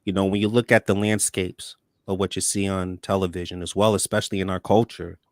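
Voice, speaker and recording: Low-voiced upbeat male talk show host. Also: little pitch movement, a little fast, no echo, studio quality. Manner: upbeat, repeats words when starting sentences. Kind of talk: casual talk show hosting.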